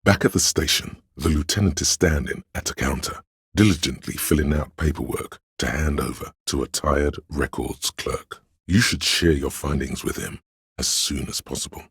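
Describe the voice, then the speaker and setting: Very deep gravelly game narrator. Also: male voice, moderate pace, a bit bright, no echo, clean studio sound, exaggerated voice. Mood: grave, measured. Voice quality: gravelly, husky. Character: grave all-knowing inner voice narrating a detective's world. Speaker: voice actor narrating a video game. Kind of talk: scripted dramatic narration.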